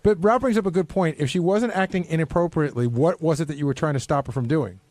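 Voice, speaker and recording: A man's voice: a little high, fast, darkish tone, no echo. A man hosting radio talk, asking probing questions in casual banter. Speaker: talk show host.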